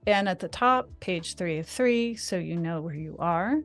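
Enthusiastic woman demoing software on a call. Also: fairly low pitch, animated, a little slow, faint music behind. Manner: chatty, enthusiastic. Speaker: guest designer demonstrating software. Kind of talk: live screen-share tutorial. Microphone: video call.

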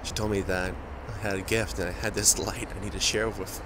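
A man playing a grieving famous musician in an audio drama, guilt-ridden, defensive and bitter, speaking in a halting confessional way. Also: lowish voice, flat delivery, background noise, close to an everyday voice. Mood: wistful, reluctant. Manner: halting, confessional, sighing pauses. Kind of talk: dramatic audio roleplay monologue.

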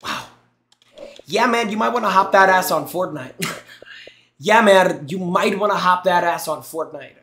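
Excitable young man streaming in a roomy echo. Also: high-pitched, unhurried, long pauses. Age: young adult. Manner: excitable, teasing, thanks chat between jokes. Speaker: streamer reacting to gameplay clips. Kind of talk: casual live-stream commentary. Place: small room indoors. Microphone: headset mic.

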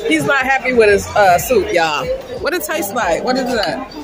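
Woman, 40s, casual vlogger, lively, phone at arm's length, loud music and crowd behind. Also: a little high, normal speed. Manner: lively, chatty, addresses viewers as "y'all". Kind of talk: casual vlog chat to camera. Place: cruise ship restaurant, club and casino, indoors. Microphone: phone camera held at arm's length. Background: loud music and crowd chatter.

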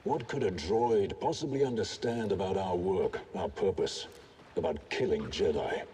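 A man's voice: low voice, normal speed, dark tone, a little hiss or hum, close to an everyday voice. A man playing a contemptuous, zealous raider in a video game, speaking with condescension and grudging tolerance. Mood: disdainful. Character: haughty, contemptuous male raider, zealous Jedi hunter. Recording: faint game ambience behind.

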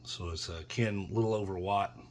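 Low-pitched man with background noise. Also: fairly even intonation, brisk. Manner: rambling, matter-of-fact. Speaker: seller demonstrating used radio equipment. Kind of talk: casual product demonstration. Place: small indoor room. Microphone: phone held near the radio. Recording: clear background noise, handheld phone.

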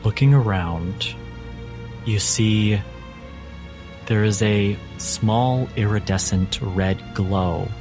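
Low-voiced man narrating a tabletop game. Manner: measured, descriptive, with dramatic pauses. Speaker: game master. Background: music.

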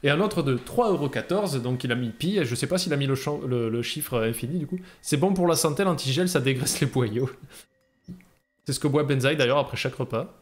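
A man's voice: mid-range voice, fairly quick. A man in his thirties with a southern French accent, joking and chatting as he hosts a game stream. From southern France. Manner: joking, reads out donations. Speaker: streamer host. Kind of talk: casual chat while playing a game. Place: indoor room at a streaming desk. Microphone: headset. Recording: roomy with loud game noise.

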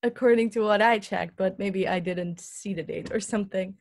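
A young woman from Belgium interviewing a musician over a video call, friendly and quick to laugh. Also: mid-range voice. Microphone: laptop webcam on video call.

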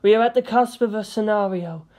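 Woman playing a haughty high-voiced alien seeress. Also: a little fast, warm tone, close to an everyday voice. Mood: solemn. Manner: imperious, scolding. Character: haughty, indignant female alien Farseer figurine.

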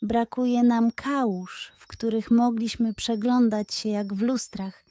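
A woman's voice: a little high, steady tone, slow, dark tone, dry recording. A woman voicing a curious, earnest little boy full of longing in a bedtime story. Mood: earnest, wistful.

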